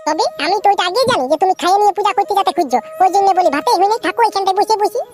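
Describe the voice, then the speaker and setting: A woman voicing a sassy, nagging village woman in a comic cartoon, with a Purulia Bengali accent and a pitched-up voice. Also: racing, soft background music. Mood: sassy, needling. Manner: sharp-tongued, bargaining.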